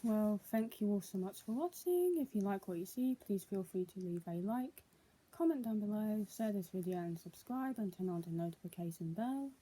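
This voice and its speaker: A woman's voice: medium pitch, lively intonation, moderate pace, warm tone, husky, rough recording. A young adult woman, speaking as a vlogger in a casual, homemade style. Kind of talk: casual vlog, reading news aloud and chatting.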